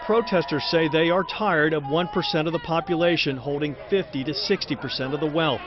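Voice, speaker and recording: A man's voice: a little high, fairly quick. A man delivering a television news report as a field reporter. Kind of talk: live and packaged news report. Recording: dull audio, street crowd noise behind.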